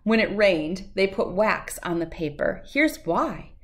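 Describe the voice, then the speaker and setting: A middle-aged woman reads a rhyming children's picture book aloud in a measured, sing-song way. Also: mid-range voice, lively intonation.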